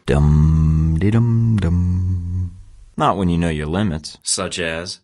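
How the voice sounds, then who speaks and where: A man's voice: low-pitched, fairly bright tone. An actor playing a wry, cocky young male spy agent in a video game, with short dry lines and a sardonic edge. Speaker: game protagonist.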